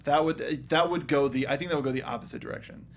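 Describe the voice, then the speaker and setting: A man's voice: fairly high pitch, fast. A man hosting and moderating a film review panel in casual, conversational talk.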